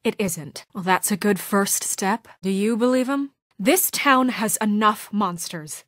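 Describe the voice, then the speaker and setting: A woman's voice: mid-range voice, a little slow, fairly bright tone, no echo, studio quality, lightly acted. A woman playing a principled young deputy mayor in a story video game, speaking with earnest, firm exasperation in naturalistic dramatic dialogue. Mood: earnest, pleading.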